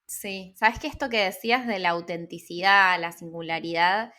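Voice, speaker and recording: A woman's voice: on the low side, normal speed, sharp tone. A woman with an Argentine accent in casual interview conversation, thinking aloud informally as she repeats and rephrases. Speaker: interviewer and personal branding consultant.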